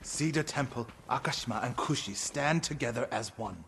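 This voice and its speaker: Earnest warrior monk, mid-pitched voice. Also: male voice, moderate pace, gravelly, fairly bright tone, a little hiss or hum. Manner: earnest, heartfelt, solemn. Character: earnest adult male warrior monk of feudal Japan. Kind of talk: dramatic video game acting.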